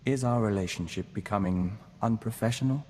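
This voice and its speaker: A man playing a calm, formal diplomat joined to a hive mind, speaking in a measured, earnest way in a video game. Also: lowish voice, natural voice. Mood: measured, direct. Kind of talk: scripted video game companion dialogue. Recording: long reverb, audible background noise.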